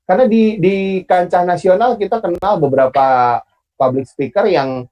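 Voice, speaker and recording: A man with a Sundanese accent hosting an online public speaking class, relaxed and pausing often with fillers. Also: sits high, muffled recording. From Bandung, Indonesia. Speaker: host and moderator.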